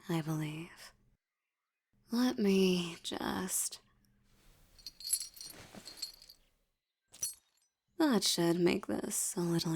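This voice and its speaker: A dark, mellow female vampire played by a woman, possessive and seductive. She speaks very slowly in a breathy near-whisper with some gravel in it, teasing and condescending as she gives orders in an intimate roleplay. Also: lowish voice, full character voice. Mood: teasing, commanding. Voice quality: breathy, often dropping toward a whisper. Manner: slow, teasing, commanding, condescending. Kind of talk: intimate roleplay audio acting.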